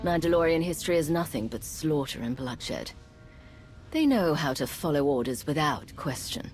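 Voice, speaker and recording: A cool female spy from a video game, speaking in a lowish voice with lively movement in it. She is calculating and persuasive, probing in a measured way. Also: faint music behind. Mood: cool challenge. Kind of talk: dramatic video game acting.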